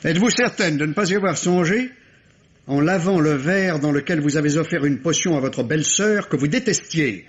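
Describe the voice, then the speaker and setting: Actor as high-voiced, methodical police inspector. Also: male voice, animated, moderate pace, close to an everyday voice. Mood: probing. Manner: formal, methodical, precise. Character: shrewd, insistent police inspector questioning a family. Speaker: radio drama actor. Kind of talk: vintage French radio mystery acting. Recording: dull, lo-fi old radio recording.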